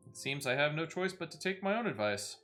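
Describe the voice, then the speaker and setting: A man's voice: fairly high pitch, big pitch swings, fairly quick, sharp tone, faint music behind, voice pushed up. A man reading game dialogue as a courteous, composed ally with formal, archaic phrasing. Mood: earnest, wry.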